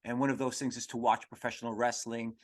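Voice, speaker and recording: A man hosting a comedy podcast, rambling through an irreverent, profane monologue in a halting, digressive way. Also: medium pitch, level tone. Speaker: podcast host.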